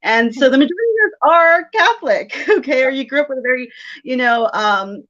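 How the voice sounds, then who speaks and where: A woman's voice: high-pitched, lively intonation, fairly quick, fairly bright tone. Giggly woman in her thirties, muffled. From South Texas. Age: 30s. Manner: giggly, rambling with many fillers. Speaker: guest, medium and paranormal investigator. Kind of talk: casual interview chat.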